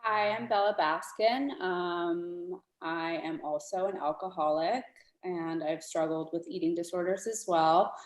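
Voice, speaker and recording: A woman introducing herself on a panel in a quiet, steady way. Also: fairly low pitch, a little slow, echoey room, low-quality recording. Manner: quiet and steady, occasional ums. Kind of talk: casual personal introduction.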